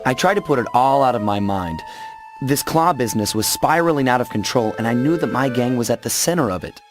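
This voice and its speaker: Cocky young thief hero voiced by a man, loud music. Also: medium pitch, expressive, brisk, lightly acted. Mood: wry narration. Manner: wry, self-assured, easygoing. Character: cocky, smooth young male animal master thief hero. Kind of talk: video game acting and storybook-like narration.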